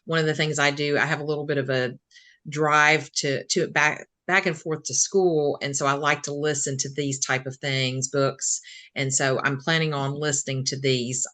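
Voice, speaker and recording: A woman's voice: deep voice, steady tone, moderate pace, a bit bright. A woman co-hosting a podcast, chatting casually and often laughing. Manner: giggly, chatty, says "like" often. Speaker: podcast co-host. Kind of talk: casual interview chat.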